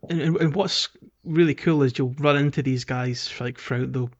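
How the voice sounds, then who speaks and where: A man's voice: mid-range voice, normal speed, muffled recording. A man hosting a podcast, talking through a game in a casual, rambling, conversational way. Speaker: podcast host.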